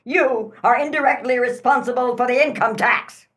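A woman's voice: fairly high pitch, moderate pace, a bit bright, close to an everyday voice. A woman acting a domineering, self-righteous wife in a comic radio play, denouncing in a haughty, declamatory manner. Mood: scornful tirade.

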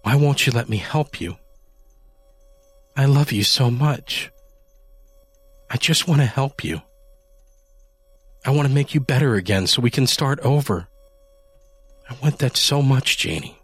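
A man playing a calm, cold husband who speaks quietly and firmly. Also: mid-range voice, unhurried, pauses often, breathy edge, no room sound, pitched above the performer's own voice. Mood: earnest. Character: calm, cold husband set on harming a cat.

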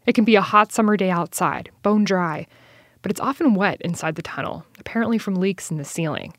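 A woman's voice: mid-range voice, a little fast, no echo, studio quality. A woman reporter reading scripted narration for a radio feature.

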